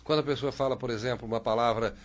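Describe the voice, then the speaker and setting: A man's voice: mid-range voice, steady tone, rapid-fire. A man hosting a radio interview, asking long, rambling questions full of fillers. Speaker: radio host.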